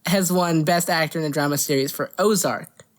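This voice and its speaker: A woman's voice: sits low, moderate pace. A woman co-hosting a casual podcast, giggly and profane as she banters and reads things out. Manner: giggly, profane, easily amused. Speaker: podcast co-host. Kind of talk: casual banter, reading out award winners.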